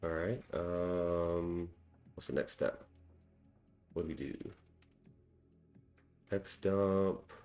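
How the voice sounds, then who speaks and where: Low-voiced man thinking aloud. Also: fairly even intonation, very slow, pauses often, a little mellow, clean tone, soft background music. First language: English. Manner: chatty and self-deprecating, lots of ums and small laughs. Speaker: cybersecurity tutorial host. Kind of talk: casual screen-recorded walkthrough, thinking aloud.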